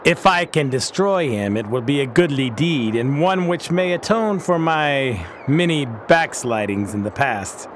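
A man's voice: mid-range voice, lively intonation, measured pace, few pauses, a little hiss or hum, lightly acted. A man playing an earnest, pious reformed pirate captain in a radio drama, speaking bluntly in formal old-fashioned language. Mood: penitent.

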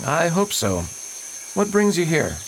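A man playing a stern, formal missionary reverend in a radio play, speaking with quiet disapproval. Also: a little high, very animated, a little slow, slight background noise, rough recording. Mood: prim. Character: stern, prim middle-aged male missionary reverend.

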